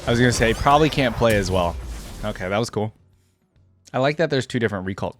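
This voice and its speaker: A man hosting a gaming reaction video, commenting casually off the cuff and thinking out loud with easy surprise. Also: on the low side, lively intonation, quick pace, faint music behind. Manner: easily amused, thinks out loud, exclaims with surprise.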